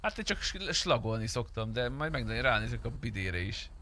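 A young man with a bright, forceful voice improvises casual roleplay banter in a game. He jokes crudely and curses freely, with a little background noise on his voice chat. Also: medium pitch, sing-song. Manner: crude joking, cursing. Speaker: streamer playing a roleplay game as a customer.

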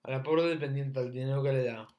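A young man hosting a reaction video, mocking with loud repeated exclamations as he comments on clips from his room. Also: mid-range voice, steady tone, quick pace.

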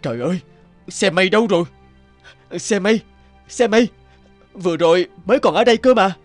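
Man acting a high-voiced, earnest, homesick young scholar. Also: normal speed, faint music behind, lightly acted. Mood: shocked, panicked. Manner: earnest, hesitant, polite. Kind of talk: animated folktale voice acting.

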